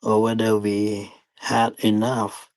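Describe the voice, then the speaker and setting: Slow, low-voiced man guiding meditation. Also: completely flat delivery, darkish tone, no echo. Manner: deliberate, halting phrasing with long pauses. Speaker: meditation teacher. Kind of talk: guided meditation dharma talk.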